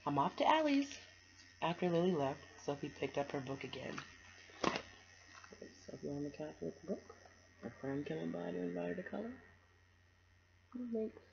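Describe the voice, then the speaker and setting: A low-pitched woman hosts storytime, reading a picture book aloud and adding casual asides. The recording is a little muffled, with light noise behind her. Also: fairly quick, vocal fry, pauses often. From United States. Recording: dull, noisy home recording.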